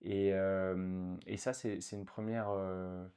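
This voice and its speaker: A man's voice: sits low, flat delivery, slow, mellow, clean tone. A man in his thirties from France, a guest in a casual interview, talking with many fillers and restarts.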